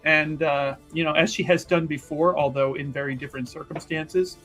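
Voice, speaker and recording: A man playing a tabletop roleplaying game, improvising his character's actions in a halting, wordy way. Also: a little high, steady tone, fairly bright tone, faint music behind.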